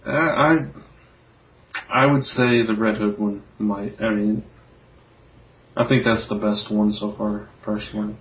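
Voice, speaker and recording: A man's voice: on the low side, fairly even intonation, unhurried, pauses often. A man co-hosting a podcast, giving his opinions in casual chat, recorded with dull, lo-fi sound. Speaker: comics podcast co-host.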